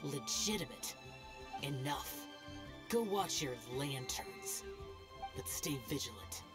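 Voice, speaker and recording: Over clear background music, a very deep boyish voice acted by a woman plays a brooding young immortal man from a video game. The delivery is slow, solemn and formal, with curt, world-weary detachment. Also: expressive, heavily acted. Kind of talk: video game cutscene acting.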